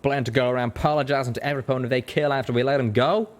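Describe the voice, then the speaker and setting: A man voicing a gruff, blunt, hard-bitten male pony gunslinger in a story reading. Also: mid-range voice, steady tone, rapid-fire, voice pushed up, close to an everyday voice. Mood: gruff, defiant.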